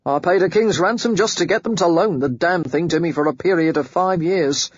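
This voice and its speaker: A woman's voice: on the low side, fairly quick, husky, dry recording, dull audio. A woman doing a blunt rich man. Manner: blunt, forceful, clipped. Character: brash self-made rich man, blunt.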